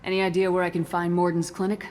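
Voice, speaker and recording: Woman as no-nonsense soldier hero, slightly low voice, direct and controlled, video game dialogue. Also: completely flat delivery, fast talker, a little mellow, in a large hall, close to an everyday voice. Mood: inquiring. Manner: direct, controlled, matter-of-fact. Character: resolute, no-nonsense female human soldier hero.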